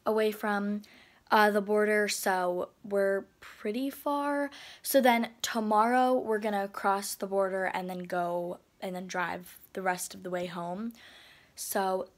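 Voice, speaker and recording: A woman's voice: medium pitch, steady tone, takes it slowly. A teenage girl chatting casually for her travel vlog, rambling with lots of "like" and "um", filmed on a phone at arm's length in a hotel room. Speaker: vlogger. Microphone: phone camera held at arm's length.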